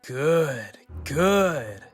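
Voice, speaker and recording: An actor playing an eerily welcoming, unctuous corporate job interviewer in a radio play. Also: male voice, on the high side, sing-song, drawn-out words, soft background music, heavily acted. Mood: coaxing.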